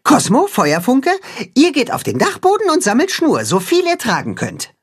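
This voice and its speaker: A storyteller voicing a bossy, clever cat-hen leader who gives brisk orders in a raised, breathy voice. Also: mid-range voice, huge pitch swings, voice pushed up. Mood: commanding. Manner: brisk, commanding.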